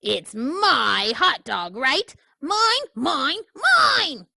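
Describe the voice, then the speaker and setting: A woman voicing an excitable, possessive male pigeon from a storybook, with loud, whiny exclamations. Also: high-pitched, extremely slow, creaky, no echo, pitched above the performer's own voice, full character voice. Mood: exasperated.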